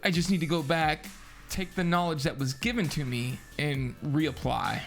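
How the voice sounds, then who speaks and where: A man's voice: fairly high pitch, moderate pace, vocal fry, faint music behind. A man co-hosting a film review as a casual chat, with informal, slangy phrasing.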